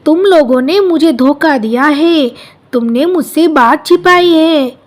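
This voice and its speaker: A woman's voice: high-pitched, measured pace. A woman voicing a naive, aggrieved young male ostrich in a cartoon story. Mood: angry. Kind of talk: Hindi cartoon story dialogue.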